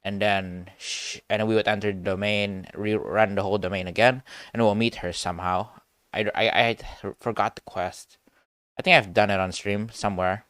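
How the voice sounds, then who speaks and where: A man's voice: lowish voice, steady tone, moderate pace, fairly bright tone, clean tone, no echo. A man doing casual live reaction commentary as a game streamer, rambling and thinking aloud.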